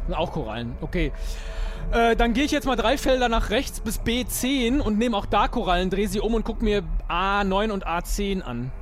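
A man playing an improvised adventure game, thinking aloud in an animated way. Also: sits high, moderate pace, faint music behind. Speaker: player. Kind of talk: improvised role-play adventure chat.

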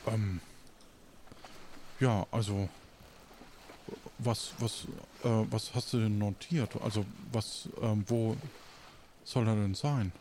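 A man hosting an improvised audio play as game master, halting and often ending on tag questions. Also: lowish voice, darkish tone, a little hiss or hum.

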